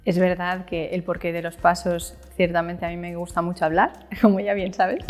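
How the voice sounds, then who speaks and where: A woman's voice: on the low side, little pitch movement, moderate pace, a little mellow, soft background music. A thirty-year-old woman from Spain with a Spanish accent, chatting casually about her experience as an interview guest. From Valencia, Spain. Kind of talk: casual chat, explaining personal experience. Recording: echoey room with long reverb.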